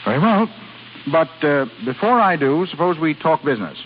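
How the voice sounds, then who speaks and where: An actor playing a suave, teasing and ardent suitor in a smooth 1930s radio drama manner. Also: male voice, on the high side, big pitch swings, normal speed. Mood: brisk, amused. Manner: smooth, playful, romantic. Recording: muffled, hissy 1930s radio broadcast.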